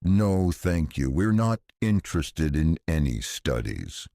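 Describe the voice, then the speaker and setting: A wary mother of a teenage boy in an audio thriller voiced by a male narrator. The register is very low and the sound is dry. She is curt, firm and protective. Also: very animated, slow pace, creaky, mellow, no room sound, exaggerated voice.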